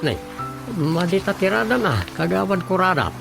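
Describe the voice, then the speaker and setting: A man's voice: high voice, sing-song, normal speed, creaky, over music, exaggerated voice. A man with a Filipino accent playing a deferential, hesitant village underling in a radio comedy-drama, full of questions.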